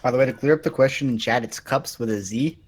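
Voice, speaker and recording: A man's voice: mid-range voice, quick pace. A man playing a tabletop roleplay game, improvising casual banter in and out of character.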